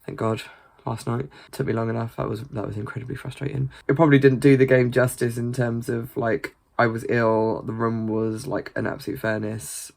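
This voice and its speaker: A woman's voice: a bass voice, little pitch movement, normal speed, vocal fry, darkish tone. A British woman chatting casually in a vlog, rambling and swearing freely, sounding a bit congested. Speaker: gaming vlogger.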